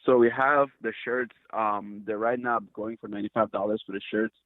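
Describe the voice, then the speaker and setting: A man from Cameroon speaks in a low, fairly monotone voice, stopping and restarting as he searches for words. He comes through a thin, tinny phone line with a dry, close sound. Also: brisk, dry recording. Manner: hesitant, restarts often, earnest. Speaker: company founder, guest. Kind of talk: casual interview talk.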